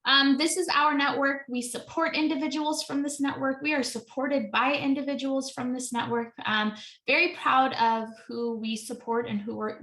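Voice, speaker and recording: A woman's voice: fairly high pitch, a bit bright, reverberant. A woman in her thirties, an immigration attorney, presenting a webinar in a chatty way with many ums and self-corrections.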